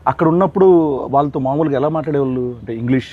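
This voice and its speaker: A man's voice: medium pitch, very fast, narrow, tinny audio. A man with a Telugu accent hosting a playful celebrity interview in a bumbling way, tripping over foreign words. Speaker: comic interviewer.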